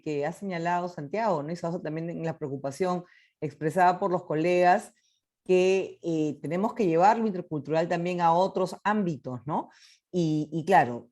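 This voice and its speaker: A woman's voice: on the low side, dark tone. A woman from Peru giving closing remarks at a panel, thoughtful, with many fillers and tag questions.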